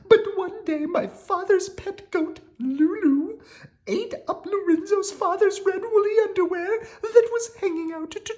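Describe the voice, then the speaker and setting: A woman reading a storybook aloud, voicing a weepy, lovesick young princess with high, melodramatic wailing. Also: warm tone.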